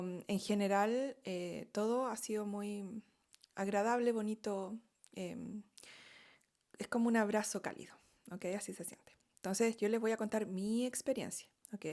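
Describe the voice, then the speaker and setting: A middle-aged woman with a Chilean Spanish accent speaks candidly to her viewers in a relaxed storytime monologue. Her delivery is chatty and open, full of fillers, small laughs and frequent "¿ok?" checks. Her pitch sits in the middle for a woman. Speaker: vlogger telling her story.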